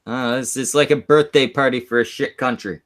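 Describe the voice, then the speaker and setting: A man from Canada in a casual chat, deadpan and halting as he mocks himself. His voice sits in an ordinary middle pitch. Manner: deadpan, halting, self-mocking.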